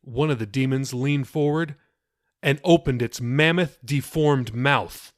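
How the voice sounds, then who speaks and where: A man narrating his own novel in a measured, expressive storytelling voice. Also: medium pitch, no echo, studio quality. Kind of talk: audiobook narration.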